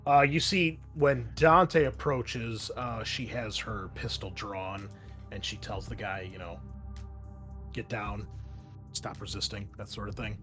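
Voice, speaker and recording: A man's voice: fairly low pitch, fairly even intonation, slow, a bit bright. Man running a tabletop game over music. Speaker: game master. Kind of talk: narrating a tabletop roleplaying game. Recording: music playing behind the voice. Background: background music.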